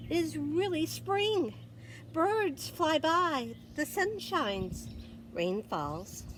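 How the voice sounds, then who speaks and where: A woman storyteller reading a picture book aloud to young children, expressive and dramatic, with deliberate pauses and emphasis. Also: fairly high pitch, a little hiss or hum. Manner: expressive, dramatic pauses, gasps and exclamations for effect.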